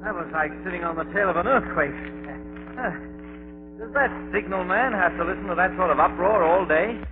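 An actor playing a courteous, inquisitive gentleman writer in a scratchy 1930s radio drama, speaking politely and properly. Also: high voice, brisk, music in the background, close to an everyday voice. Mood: curious. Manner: polite, well-spoken, curious. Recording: scratchy, tinny, noisy 1930s radio recording.

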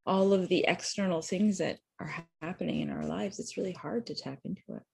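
A woman's voice: fairly low pitch, a little fast, gravelly, darkish tone, breathy edge. A woman in her thirties, a physician guest on a podcast, talking in a chatty way with many likes and restarts. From Canada. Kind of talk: casual interview chat.

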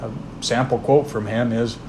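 Podcast guy rambling through history facts, lots of uhs, mid-pitched voice, humming room. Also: fairly even intonation, background noise. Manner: plain, unhurried, many "uh" fillers and restarts. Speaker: podcast host. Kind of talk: informal history talk.

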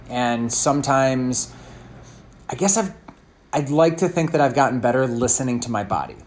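A man's voice: mid-range voice, slight background noise. A man in his thirties, a fitness coach, answering a question in an earnest, thoughtful way, often restarting his sentences. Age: 30s.

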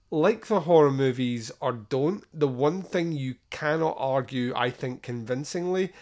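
Conversational male podcast host. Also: medium pitch. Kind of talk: casual solo talk introducing a film countdown.

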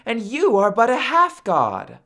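A woman playing a cautious goddess mother in a storybook tale, expressive and full of warning. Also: a little high, a little slow, full character voice. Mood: cautioning. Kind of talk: storybook character acting.

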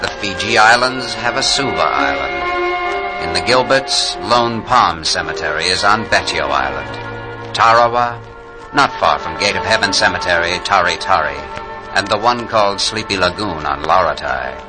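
Solemn male narrator, formal 1940s radio delivery, low and expressive, strong music behind. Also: poor audio. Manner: formal, measured declamation. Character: solemn memorial narrator reading a roll of war cemeteries. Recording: old 1940s radio broadcast recording. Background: prominent musical score.

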